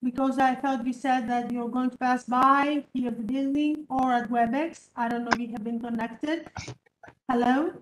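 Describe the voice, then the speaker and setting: Woman moderator, high voice, echoey room, faint rumble behind her. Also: steady tone, moderate pace. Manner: encouraging, with frequent fillers. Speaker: event moderator. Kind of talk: hosting an academic award ceremony.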